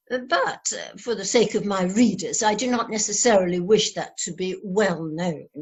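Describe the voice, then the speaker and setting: A prim, guarded lady writer, played by a woman in a radio play. The voice is husky and mid-pitched, with formal, composed phrasing. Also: a bit bright. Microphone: video call.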